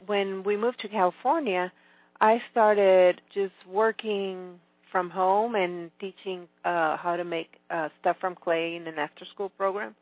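A woman's voice: mid-range voice, steady tone, unhurried, no echo. A middle-aged woman from Venezuela, a Spanish speaker, chatting as an author guest in a phone interview and restarting often. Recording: tinny phone line.

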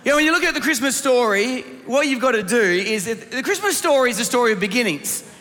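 High-pitched male preacher, strained voice, big hall. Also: animated, fast talker, bright, forceful tone, soft background music. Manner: rhetorical questions, instructive, addressing the congregation directly. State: voice strained from laryngitis. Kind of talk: Christmas sermon to a congregation. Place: church hall. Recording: reverberant hall sound.

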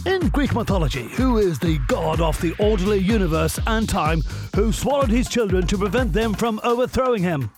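An actor playing a brash, cheesy local-radio breakfast presenter with an Irish accent and showy, flippant patter. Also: male voice, high voice, expressive, moderate pace, over music. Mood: upbeat, showy. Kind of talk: comic radio play, radio DJ patter.

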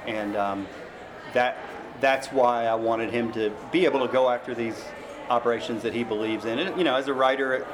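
A man's voice: mid-range voice, steady tone, moderate pace. An American man being interviewed as a novelist, explaining his work casually in an informal, rambling way. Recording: clearly noisy background.

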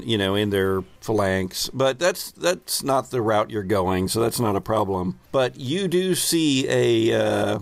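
A man's voice: lowish voice, dry recording. A man acting as game master, casually narrating a tabletop game and bantering with players. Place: remote online call.

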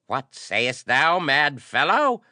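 A man's voice: medium pitch, lively intonation, takes it slowly, pushed and bright, no echo, studio quality, pitched above the performer's own voice. A man voicing a furious, imperious old arch-priest with a screeching voice in an adventure story. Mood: screeching disbelief. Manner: imperious, archaic speech.

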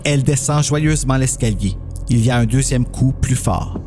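A man's voice: medium pitch, normal speed, music in the background. A man narrating a scripted horror story as a podcast host.

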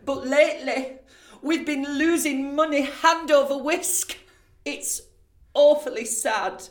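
A theatrical pantomime dame of middle age, pitched very high and projected hard. She pleads in drawn-out, exaggerated phrases, full of self-pity and earnest grandeur. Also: roomy sound. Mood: mock sorrow. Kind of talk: broad pantomime stage acting.

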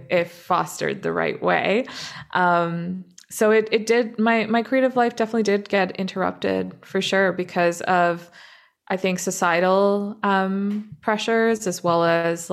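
A young woman who grew up in Canada, speaking as a guest in an interview and talking thoughtfully, with frequent pauses, fillers and restarts. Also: lowish voice, vocal fry. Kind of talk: reflective interview talk.

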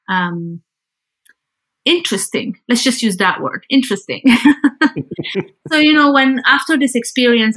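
Woman from lebanon, podcast guest, medium pitch, chatting thoughtfully and picking her words as she goes. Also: fairly bright tone, poor audio. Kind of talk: conversational interview answers.

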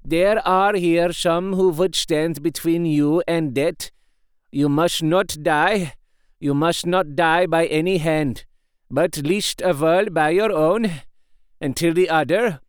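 A solemn, fatherly old professor voiced by a man speaks in slow, pleading, grave tones. The voice sits a little high and smooth, recorded close and dry. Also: no echo.

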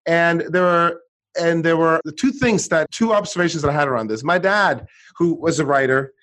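Middle-aged man from Sweden, guest author, lively voice on the higher side, restarts a lot. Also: normal speed, poor audio. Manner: animated storytelling, frequent restarts. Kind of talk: conversational interview.

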